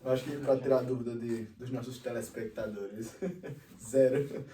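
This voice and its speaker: A man's voice: medium pitch, steady tone, moderate pace, mellow. A man hosting a casual podcast interview, asking loose, rambling questions. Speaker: podcast host. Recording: echoey room, mic at a distance, faint noise.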